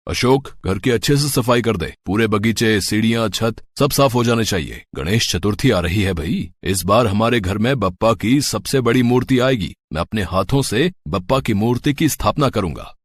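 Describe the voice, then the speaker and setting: A man voicing a stern, bossy rich employer in a cartoon moral story, with a gruff, commanding manner. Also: lowish voice, expressive, normal speed, few pauses, no echo.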